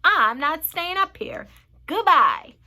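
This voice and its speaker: A woman's voice: on the high side, lively intonation, measured pace, sharp tone, heavily acted. Woman voicing a brash, sardonic storybook centipede. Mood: defiant. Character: cranky, sardonic male centipede.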